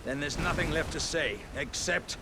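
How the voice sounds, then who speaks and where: A man's voice: fairly high pitch, moderate pace, background noise, close to an everyday voice. A man with a British accent voicing a hearty, pompous, good-natured living warrior jar in a theatrical fantasy game style. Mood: grave, resolute. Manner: grandiose, jovial, old-fashioned gentlemanly phrasing. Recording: music behind the voice.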